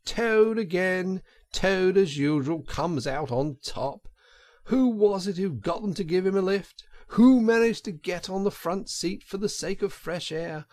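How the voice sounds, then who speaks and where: A man voicing a vain, excitable fat toad from a storybook, given to boastful and self-pitying exclamations. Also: high-pitched, unhurried, voice pushed up. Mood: gleeful, boastful. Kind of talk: dramatised storybook character reading.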